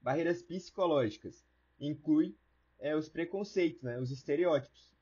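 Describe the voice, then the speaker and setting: Man, Brazilian accent, slightly high husky voice, informal explanatory lecture, dull muffled recording. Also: moderate pace, a little mellow. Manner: casual, colloquial, explanatory. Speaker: online course teacher. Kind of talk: informal lecture with everyday examples.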